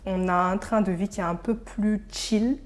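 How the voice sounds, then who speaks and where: A young woman from Senegal, an interview guest, talking casually and pausing mid-thought. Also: medium pitch, steady tone. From Senegal, of Burkinabe descent.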